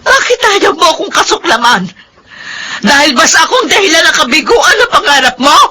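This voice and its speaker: High, animated Filipina melodrama role. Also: female voice, a little fast, soft background music, exaggerated voice. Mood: anguished. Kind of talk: Filipino radio drama acting.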